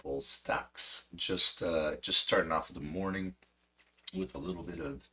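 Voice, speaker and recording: A man hosting a stock trading stream, hoarse and losing his voice, chatty and self-mocking with a cracking voice. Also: low-pitched, sing-song, unhurried, sharp tone. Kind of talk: casual market commentary.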